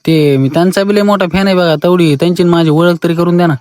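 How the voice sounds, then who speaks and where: Male caller, rural Maharashtrian accent, quick and high-pitched, evasive, pleading, dry close sound. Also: fairly even intonation, darkish tone, no echo. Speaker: caller, self-declared fan. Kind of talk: comic phone call.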